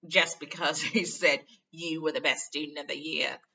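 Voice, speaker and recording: A woman playing a loud, blunt, scornful schoolboy. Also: sits low, little pitch movement, moderate pace, creaky, highs cut off, exaggerated voice. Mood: scornful, impatient.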